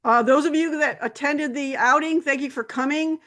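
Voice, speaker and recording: Woman, club host, fairly high voice, meandering announcements full of fillers. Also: normal speed, a bit bright. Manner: rambling, many fillers and restarts. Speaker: camera club meeting host.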